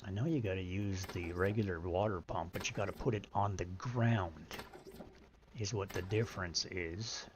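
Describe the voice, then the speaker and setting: A middle-aged man with a deep voice streaming a game, chatting casually, explaining patiently and reading menu items aloud. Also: moderate pace, background noise. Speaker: live streamer. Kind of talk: casual gaming stream chat.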